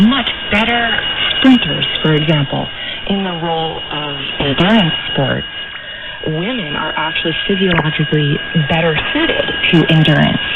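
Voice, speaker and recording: Woman radio guest explaining stuff firmly, deep-ish voice, tons of shortwave static. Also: highs cut off. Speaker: interview guest on women in cycling. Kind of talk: radio panel discussion. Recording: very noisy shortwave radio capture. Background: heavy radio static and distortion.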